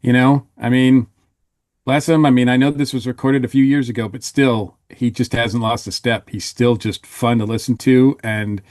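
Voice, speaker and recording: Mid-pitched man in a dry-sounding podcast. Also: fairly even intonation, moderate pace, darkish tone, no echo. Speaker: podcast panelist. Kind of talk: casual review chat.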